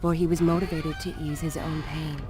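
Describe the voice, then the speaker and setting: An actress playing a solemn, wistful female storyteller who narrates a fantasy game in formal, measured phrases. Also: low-pitched, little pitch movement, background noise, lightly acted. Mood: wistful reproach. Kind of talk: scripted dramatic story narration. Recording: loud music behind the voice. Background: game soundtrack music.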